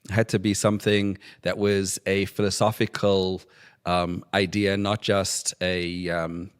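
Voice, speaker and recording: A male author with a low voice answers interview questions. He works through his points methodically, one at a time. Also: flat delivery, unhurried, clean tone.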